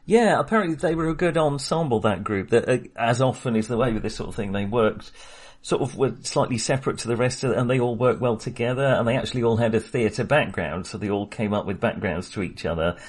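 A man's voice: mid-range voice, fast talker, hardly any pauses, husky. A man co-hosting a podcast, chatting about a film in a rambling way, often restarting and laughing as he talks. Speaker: podcast co-host. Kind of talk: casual film discussion.

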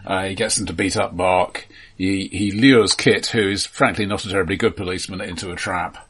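A man co-hosting a film podcast in casual discussion, speaking in a halting way with dry asides. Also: low-pitched, steady tone, a bit bright, breathy edge.

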